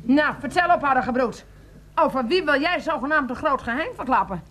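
A high, very animated voice of a doting aunt in a radio drama. She fusses and exclaims, swinging widely in pitch as she chides her nephew. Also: moderate pace. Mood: indignant, scolding. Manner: exclamatory, fussing, chiding. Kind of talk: radio play acting.

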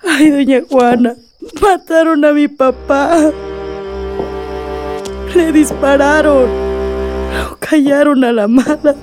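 A woman's voice: high voice, slow pace, long pauses, music in the background. An actress in a radio drama playing an anguished, vengeful daughter grieving her murdered father. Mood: grief-stricken.

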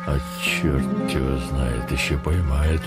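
An actor playing a grumbling, cynical chief of the royal guard in a satirical fairy-tale play. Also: male voice, very deep voice, fairly even intonation, slow pace. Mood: grumbling scorn. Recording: loud music behind the voice.

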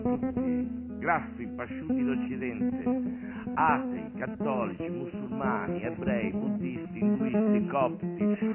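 A man performing a theatrical stage monologue, moving between wry, offhand asides and solemn declamation. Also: medium pitch. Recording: thin phone-like sound with loud music. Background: live guitar music.

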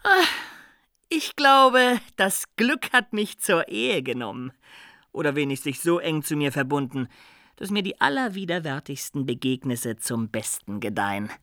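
An actor playing a proud, loyal young soldier in a period radio drama, speaking in formal, courtly, earnest phrases. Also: male voice, a little high, sing-song, measured pace, sharp tone, full character voice. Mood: elated. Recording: long reverberant room.

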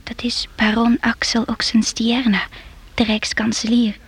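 Young queen, radio play, slow measured delivery, breathy, spirited, imperious yet affectionate. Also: a little high, completely flat delivery, full character voice. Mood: intimate, confiding.

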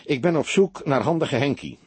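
A wry, heavyset police detective played by a male storyteller, husky and animated in pitch. He stays unflappable and teases with mock sincerity, in a dry, close recording. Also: normal speed, no room sound. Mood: jovial, teasing.

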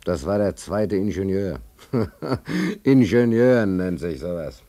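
Low-voiced, stubborn, wry sailor. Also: male voice, lively intonation, slow pace, dark tone. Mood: sardonic. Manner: blunt, colloquial, easily outraged. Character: stubborn, aggrieved sailor stoker, wry storyteller. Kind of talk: radio drama acting.

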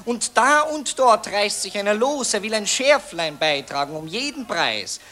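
A pompous journalist. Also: male voice, sits high, very animated, a little slow, bright, forceful tone, heavily acted. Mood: smug. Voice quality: pompous, declamatory. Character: pompous male journalist, glib and self-satisfied. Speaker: newspaper reporter. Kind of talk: radio drama acting.

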